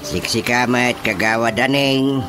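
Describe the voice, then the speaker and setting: A man's voice: mid-range voice, a little slow, lightly acted. An actor with an Ilocano Filipino accent playing a talkative, exasperated village chairman in a radio comedy drama. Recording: loud music bed under voice.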